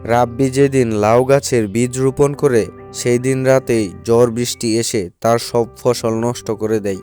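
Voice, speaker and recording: Male narrator for a cartoon tale, average pitch, scripted storytelling over a light music bed. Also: steady tone, unhurried. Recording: faint music behind the voice.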